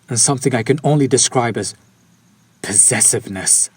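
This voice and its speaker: A man's voice: mid-range voice, darkish tone, no room sound, close to an everyday voice. A man playing a courteous, lovesick, centuries-old vampire in an intimate audio roleplay, with formal, old-fashioned and pleading speech. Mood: apologetic, yearning. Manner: formal, archaic, ornate, apologetic phrasing. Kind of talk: scripted romantic audio roleplay, intimate direct address.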